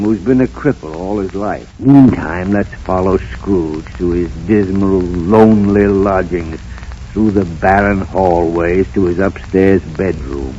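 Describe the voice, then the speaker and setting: Dramatic old-time radio narrator, muffled sound. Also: male voice, low-pitched, warm tone, a little hiss or hum. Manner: dramatic, measured storytelling. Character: male storyteller, dramatic and earnest. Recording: muffled 1930s radio recording with music.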